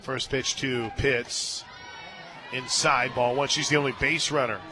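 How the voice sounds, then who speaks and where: A man calling softball play-by-play on the radio, chatting casually between pitches and lifting with excitement on big plays. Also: a little slow, over music. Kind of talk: live softball commentary with casual booth chat. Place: stadium radio booth.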